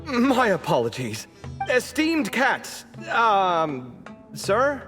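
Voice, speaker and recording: Animated, excitable young woman. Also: medium pitch, extremely slow. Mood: flustered. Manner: exclamatory, stammering when flustered. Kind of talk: video game voice acting. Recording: long reverberant hall sound. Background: game music.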